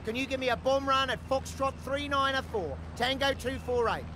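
A man playing an Australian army commander making brisk, forceful radio calls in a war game. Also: very high voice, noisy background. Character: Australian ANZAC commander calling for air and artillery support.

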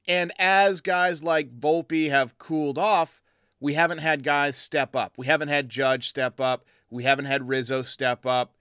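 Man, fairly high smooth voice, dry close sound, blunt sports commentary, casual and emphatic. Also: fairly even intonation, measured pace, sharp tone, no echo. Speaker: host of a sports fan call-in show.

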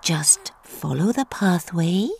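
An actress playing a sly old witch in a storybook, luring and sweetly menacing in a breathy, hushed voice. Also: fairly low pitch, lively intonation, takes it slowly, exaggerated voice. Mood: ominous warning. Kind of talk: storybook verse performance.

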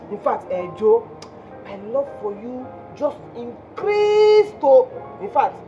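An actress as high-pitched, dramatic Nigerian girlfriend. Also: dark tone, highs cut off, full character voice. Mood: amazed, adoring. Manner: theatrical, exclamatory, repetitive. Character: jilted Nigerian girlfriend, hot-tempered, dramatic, cursing her lover. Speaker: actor in a comic relationship drama. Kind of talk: dramatised phone-call dialogue.

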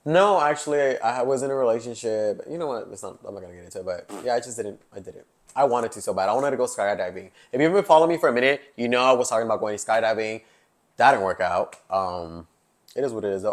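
A man's voice: medium pitch, animated, fast. A man in his early twenties hosting a podcast in casual chat, chatty with lots of fillers and laughs, recorded on a lapel mic in a living-room set. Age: 20s. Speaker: podcast host.

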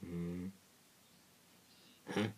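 A man's voice: low-pitched, level tone, very slow, a little mellow, slight background noise. A young man in a small bedroom, rambling and joking through a cartoon reaction video on an earbud mic, with mumbled asides and swearing. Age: young adult. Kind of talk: casual cartoon reaction commentary. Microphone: earbud headset mic.

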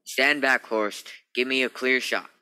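Space captain for radio play, male actor: sharp, tense, authoritative, mid-pitched, skeptical. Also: fairly even intonation, measured pace, smooth. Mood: commanding. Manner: emphatic, authoritative.

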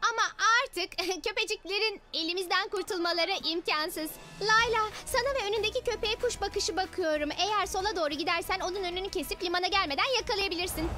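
A woman voicing a confident, determined young girl leader in a children's cartoon, decisive and expressive. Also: very high voice, fast talker, hardly any pauses. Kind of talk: animated children's cartoon dubbing. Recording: music behind the voice.

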